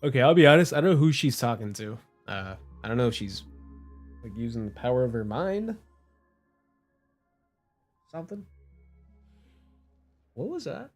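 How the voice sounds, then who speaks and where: Male game streamer, casual and joking, lively pitch swings, music underneath. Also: moderate pace, pauses often. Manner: slangy, joking. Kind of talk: casual reaction commentary.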